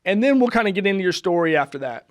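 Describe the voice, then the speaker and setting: Upbeat high-voiced male podcast host. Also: expressive, rapid-fire. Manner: upbeat, friendly, with frequent fillers. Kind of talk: casual interview chat. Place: studio.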